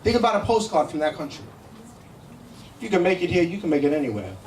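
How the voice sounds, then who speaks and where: Middle-aged male stand-up, New York accent, brash and foul-mouthed, pitched high, heard in an echoey room. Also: very animated, fast talker, slight background noise. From Brooklyn, New York, United States. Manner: brash, profane, conversational. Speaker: stand-up comedian. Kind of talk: live stand-up comedy with crowd banter. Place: indoor comedy show in a cafe. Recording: echoey live room, miked from a distance.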